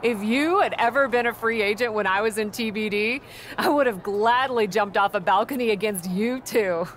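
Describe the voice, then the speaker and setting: A woman's voice: mid-range voice, moderate pace, fairly bright tone, slight background noise, natural voice. An actress playing a confident young female wrestling champion who talks in a playful, persuasive way. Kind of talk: video game cutscene acting.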